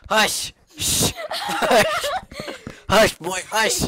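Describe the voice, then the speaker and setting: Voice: medium pitch, huge pitch swings, drawn-out words, crowd noise. A playful, giggly podcast host chatting casually and teasing friends in a group voice call.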